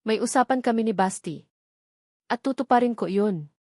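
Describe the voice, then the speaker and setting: A woman's voice: a little high, moderate pace, warm tone, no room sound, studio quality, close to an everyday voice. A woman voicing a modest, polite young surrogate mother, anxious but brave, in an audio drama. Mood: nervous but firm. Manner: polite, guarded. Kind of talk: audio drama heroine dialogue.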